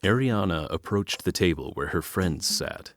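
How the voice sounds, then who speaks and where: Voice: sits low, expressive, normal speed, soft background music. A narrator reading scripted storytelling for a fantasy audio drama.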